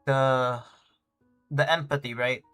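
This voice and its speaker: Male game streamer, offhand and slangy, halting as he thinks aloud, faint music behind. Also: medium pitch, steady tone, fairly bright tone, clean tone. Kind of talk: casual game stream commentary.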